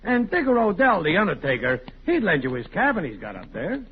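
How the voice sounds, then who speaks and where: Cocksure needling neighbor, wildly animated pitch, boastful, vintage radio comedy, muffled recording. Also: male voice, a little fast. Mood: slyly coaxing. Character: bragging, sly neighbor, cocksure wisecracking family man. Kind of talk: 1940s radio comedy acting. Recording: muffled old-time radio recording, faint hiss.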